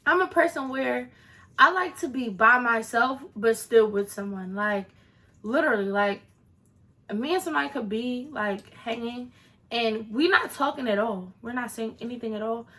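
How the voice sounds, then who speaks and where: Chatty bright-voiced young woman. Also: on the high side, moderate pace. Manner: chatty, sassy asides to viewers. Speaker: vlogger. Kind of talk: casual Q&A vlog.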